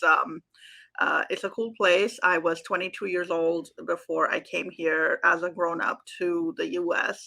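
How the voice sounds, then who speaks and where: Gravelly-voiced woman from Pakistan. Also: on the low side, pushed and bright. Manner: chatty, friendly, with fillers. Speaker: author guest. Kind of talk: casual panel self-introduction.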